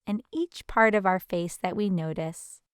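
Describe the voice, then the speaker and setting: Woman, meditation guide, clear smooth voice, gentle and soothing, unhurried delivery, close dry sound. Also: mid-range voice, no room sound.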